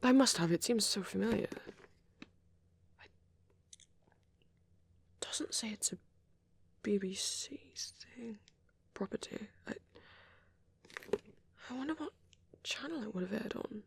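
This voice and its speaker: A performer voicing a shy, gentle young vampire boyfriend in an ASMR roleplay, with a soft, hesitant, often whispered delivery. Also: medium pitch, lively intonation, vocal fry, slightly rough, no room sound, heavily acted. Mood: fondly nostalgic. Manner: hesitant, halting, murmured musing.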